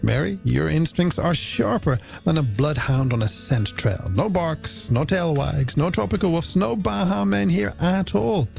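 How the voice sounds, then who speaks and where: A man's voice: a little high, expressive, unhurried, vocal fry, few pauses, darkish tone. A man presenting late-night music radio, with playful, theatrical and wordy banter. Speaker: radio host. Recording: music bed behind the voice.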